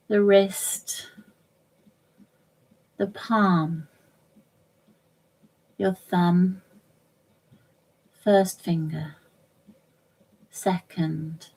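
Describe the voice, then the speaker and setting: Female meditation guide, slightly low pitch, extremely unhurried delivery, soothing short phrases, long pauses. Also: darkish tone, rough recording. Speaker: yoga nidra instructor. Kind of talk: guided meditation, relaxation instruction.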